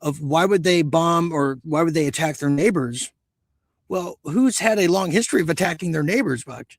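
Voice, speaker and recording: A male talk show host with a husky, creaky voice that is wearing out, recorded close and dry. He rambles in a casual, musing way, halting often as he goes. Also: fairly high pitch, no echo. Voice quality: husky, gravelly voice. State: losing his voice.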